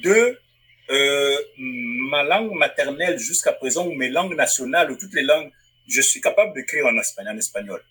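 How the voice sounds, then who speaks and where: A man's voice: medium pitch, expressive, moderate pace, pushed and bright, slightly rough, low-quality recording. A man from Africa, a publisher and writer, answering interview questions in a thoughtful way, often restarting phrases as he searches for words. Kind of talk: interview guest. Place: Northwest Territories.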